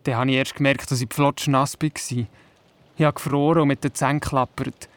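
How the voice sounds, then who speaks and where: A man's voice: mid-range voice, level tone, a little fast, fairly bright tone, lightly acted. A man with a Swiss German accent playing a young, curious boy who narrates and talks in a radio play. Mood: wondering, suspenseful. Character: young boy on holiday at his grandmother's, curious.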